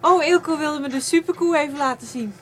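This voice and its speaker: A woman's voice: high-pitched, fairly quick, a bit bright. An actress playing an eager young woman in a radio play, curious and polite in manner. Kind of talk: radio drama dialogue.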